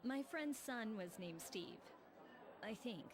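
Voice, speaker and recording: A woman's voice: a little high, animated, slow pace, crowd noise. A woman voicing a puzzled, matter-of-fact young woman in a video game cutscene. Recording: music under the voice.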